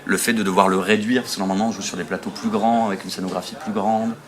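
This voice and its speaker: A man talks about his stage work in a casual, hesitant way with plenty of pauses. His voice sits somewhat low. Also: steady tone, crowd noise. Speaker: theatre artist. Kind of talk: casual interview.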